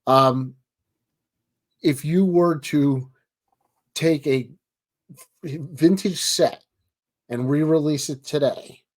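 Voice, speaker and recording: A man's voice: on the high side, slow pace, pauses often, a little mellow. A chatty man in his thirties with a Baltimore accent, hosting from his home office over a video call. He jokes easily and trips over his words, repeating himself as he goes. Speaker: host. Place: home office with framed pictures. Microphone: webcam video call.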